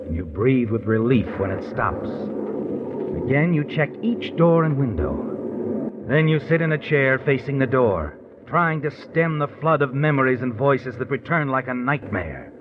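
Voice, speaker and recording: A man's voice: medium pitch, very animated, background noise, natural voice. Man acting a sinister, swooping radio suspense narrator. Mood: tense, taunting. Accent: American. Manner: ominous, insinuating, dramatic, deliberate. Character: knowing, sinister, taunting male omniscient storyteller. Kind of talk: 1950s American radio suspense narration in second person. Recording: tinny narrow 1950s radio recording.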